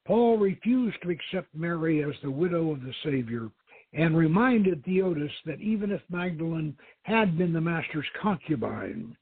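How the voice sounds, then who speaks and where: Phone-line man reading a novel, high voice. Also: very animated, moderate pace, slightly rough, no echo. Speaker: host reading a novel aloud. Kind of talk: dramatic audiobook-style reading. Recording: thin, phone-like radio line.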